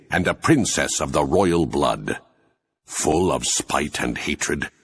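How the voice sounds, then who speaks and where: An actor narrating a dark fantasy game chronicle in a solemn, grandiose, husky voice. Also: male voice, rumbling low, heavily acted. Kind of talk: scripted cinematic narration.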